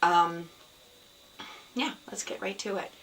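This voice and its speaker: A woman with a scratchy, hoarse voice from a cold, hosting a casual video podcast at home, rambling with many ums. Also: medium pitch, takes it slowly. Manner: rambling, many ums, soft and sad in places. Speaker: podcast host. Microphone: camera. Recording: somewhat distant mic, faint background noise.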